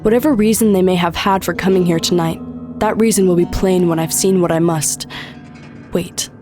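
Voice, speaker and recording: A woman's voice: lowish voice, moderate pace, warm tone, soft background music, natural voice. A woman acting a cold, proud she-demon, the devil's daughter, with formal, solemn diction in an audio drama. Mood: resolute.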